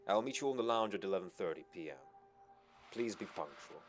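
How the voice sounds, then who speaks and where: A man's voice: deep voice, lively intonation, brisk, soft background music. A man voicing a confident, formal expedition financier who addresses people with authority in a visual novel reading. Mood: formal, assured.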